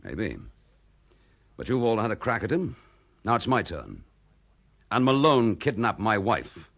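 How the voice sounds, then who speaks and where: A man's voice: fairly low pitch, big pitch swings, unhurried, gravelly, a bit bright, full character voice. An actor playing a determined, earnest detective chief inspector who presses his case bluntly. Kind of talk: 1960s radio drama acting.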